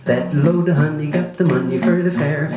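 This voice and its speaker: An actor playing a folksy country uncle who sings a drawn-out tall tale in a 1940s radio drama. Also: male voice, on the high side, muffled recording, loud music behind the voice, close to an everyday voice. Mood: jaunty. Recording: roomy 1940s record. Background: plucked string instrument.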